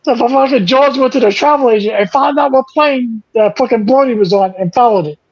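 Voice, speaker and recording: A man's voice: very high-pitched, fairly quick, no room sound, dull audio. A man co-hosting a podcast, chatting casually about movies, joking and swearing a lot and laughing often. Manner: profane, jokey, laughs often. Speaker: podcast co-host.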